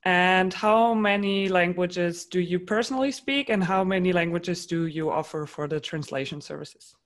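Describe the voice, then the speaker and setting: A woman's voice: on the low side, normal speed. A woman hosting an interview show, introducing her guest and asking prepared questions.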